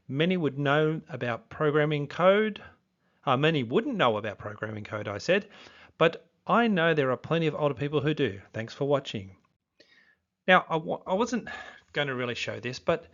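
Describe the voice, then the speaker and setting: A man's voice: mid-range voice, fairly bright tone, dull audio. Youthful-sounding fifty-year-old man, rambling. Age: 50s. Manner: rambling, many fillers and restarts. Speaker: true crime YouTuber. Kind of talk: casual video commentary.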